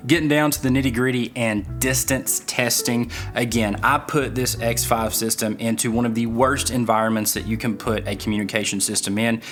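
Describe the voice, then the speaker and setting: A man's voice: medium pitch, fairly bright tone. Man reviewing gear over background music. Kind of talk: casual review narration to viewers.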